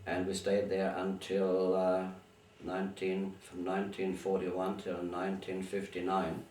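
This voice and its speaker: An older man with a very deep voice, born in Bulgaria and raised first on Bulgarian, tells his family history in halting, restarting sentences. He sits some distance from the mic in an echoey room, with a faint hiss underneath. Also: completely flat delivery, a little mellow. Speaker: interviewee. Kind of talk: recalling memories in an interview.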